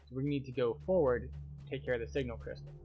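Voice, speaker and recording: A man's voice: mid-range voice, steady tone, quick pace, highs cut off, faint music behind. A man narrating a video game walkthrough as a tour guide, explaining each step. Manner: explanatory, guiding.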